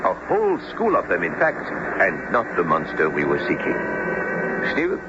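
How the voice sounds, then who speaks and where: A man's voice: sits low, huge pitch swings, moderate pace. A man playing an earnest, learned French professor who narrates his sea adventure formally and dramatically in a radio drama. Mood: dramatic, grave. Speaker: narrator and actor. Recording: noisy, muffled old radio recording.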